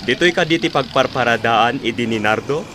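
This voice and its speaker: An actor with a Filipino accent playing a firm, reproachful police officer in a radio drama. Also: male voice, mid-range voice, animated, a little fast, pushed and bright, a little hiss or hum. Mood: inquiring. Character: stern, lecturing male police officer.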